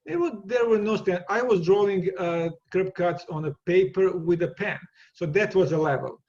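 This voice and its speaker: A man's voice: high voice, moderate pace, darkish tone, highs cut off. A man from Serbia with a Serbian accent, a guest in an interview, talking casually with many restarts and repeated words.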